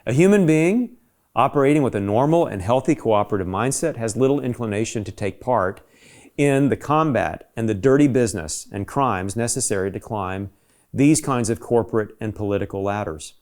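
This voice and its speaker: A man's voice: medium pitch, animated, normal speed, a little mellow. A man reads a scripted essay aloud in an emphatic, rhetorical manner, as if pressing an argument. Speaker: reader of an essay.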